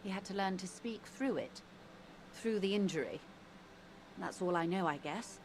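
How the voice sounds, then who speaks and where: A woman voicing a composed middle-aged mediator who speaks calmly and precisely. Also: mid-range voice, a little hiss or hum, natural voice. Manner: calm, precise, measured.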